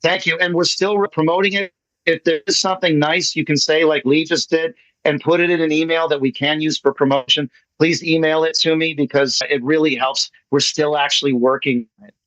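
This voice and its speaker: Effusive man, slightly high voice, dry sound. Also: steady tone, brisk, fairly bright tone, no echo. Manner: effusive, rambling, repeats himself for emphasis. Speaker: playwright and guest speaker. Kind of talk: casual online talk answering questions. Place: online video meeting. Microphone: video call. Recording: slightly dull online call audio.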